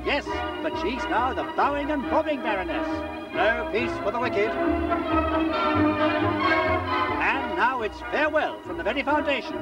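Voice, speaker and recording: A very high-voiced, chirpy British newsreel commentator of the 1940s. He gushes with patriotic cheer in quaint exclamations. Loud music plays all the way behind him. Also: low-quality recording. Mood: mock grand. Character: jolly, plummy old-time cinema newsreel announcer, gushing and patriotic.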